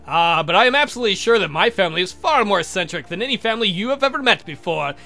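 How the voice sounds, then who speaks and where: A man's voice: high voice, expressive, very fast, pushed and bright, soft background music, heavily acted. A man voicing a courtly adult vampire count in a visual novel, earnest and doting, with formal, gallant speech. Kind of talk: voiced visual novel dialogue.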